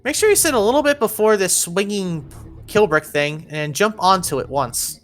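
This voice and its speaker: A woman's voice: medium pitch, lively intonation, moderate pace, faint music behind. A woman narrating an instructional video game walkthrough, giving encouraging step-by-step directions.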